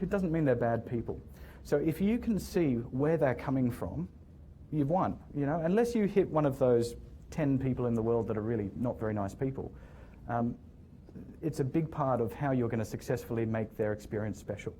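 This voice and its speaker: A man's voice: mid-range voice, animated, normal speed, dark tone, a little hiss or hum. A man, a hotel general manager, talking casually to a room of students and answering questions, often repeating words as he starts sentences. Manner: hesitant, repeats words, friendly storytelling. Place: lecture room with audience.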